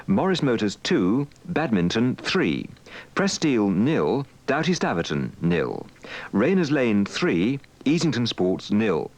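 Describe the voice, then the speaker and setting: A British man reading local football results live on radio, brisk and unfussed. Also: on the low side, big pitch swings.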